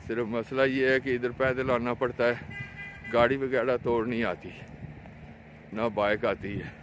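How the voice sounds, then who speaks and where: A man's voice: steady tone, measured pace, lo-fi sound. A man with a Pakistani accent gives casual, repetitive vlog commentary as a fishing vlogger, pointing things out to viewers into a handheld phone outdoors. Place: outdoors on an open road along a dam. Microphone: handheld phone camera, speaker behind it. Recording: noisy outdoor phone video, ambient rumble.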